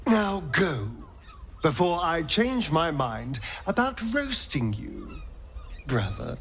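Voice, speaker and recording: Scornful vampire spawn, highish voice, widely swooping intonation, sardonic, game dialogue. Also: male voice, huge pitch swings, slow, a bit bright, slight background noise, full character voice. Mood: menacing. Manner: theatrical, sardonic, drawling. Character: theatrical, scornful, vengeful male vampire spawn. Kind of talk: video game acting.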